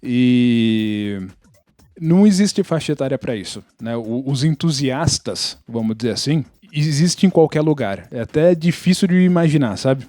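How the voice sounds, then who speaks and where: A man's voice: mid-range voice, expressive, normal speed, mellow, no echo, studio quality. A Brazilian man explaining things casually on a podcast, often checking in with tag questions. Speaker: podcast panelist.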